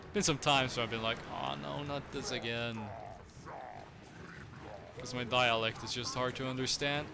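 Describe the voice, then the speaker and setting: A man's voice: mid-range voice, creaky, background noise. A young man from Norway with a Norwegian accent, chatting casually with viewers while he plays a strategy game, rambling with lots of fillers. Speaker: streamer.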